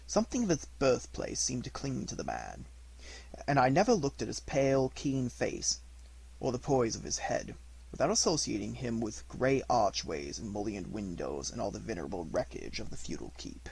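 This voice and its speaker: A man reading a detective story aloud as an amateur audiobook narrator, in an expressive manner. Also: mid-range voice, normal speed, vocal fry, a little hiss or hum, rough recording.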